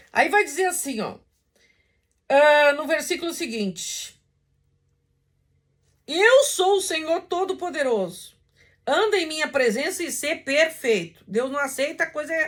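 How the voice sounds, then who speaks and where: A woman's voice: extremely high pitch, fairly bright tone. A woman with a Brazilian accent teaching a Bible lesson as an informal sermon, emphatic and didactic, moving between verses read aloud and conversational explanation. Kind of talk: informal sermon with scripture reading.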